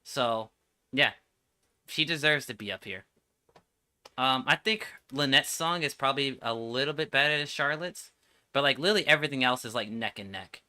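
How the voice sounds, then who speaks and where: Male game streamer, informal enthusiastic commentary, very forward, crisp tone, mid pitch. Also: moderate pace, bright, forceful tone. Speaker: streamer reacting to game trailers. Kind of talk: casual livestream commentary.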